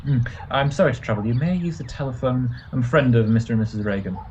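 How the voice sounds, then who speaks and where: Man playing a hurried, nervous polite stranger. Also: medium pitch, animated, darkish tone, close to an everyday voice. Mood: nervously polite. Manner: hesitant, polite. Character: nervous, apologetic male stranger asking a favour. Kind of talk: classic radio drama acting. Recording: muffled, clearly noisy home recording.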